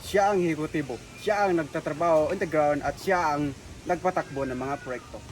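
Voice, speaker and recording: A man's voice: fairly high pitch, brisk, poor audio. A man with a Filipino accent hosting an explainer video, addressing viewers like a class. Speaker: video host. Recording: crowd chatter behind the voice.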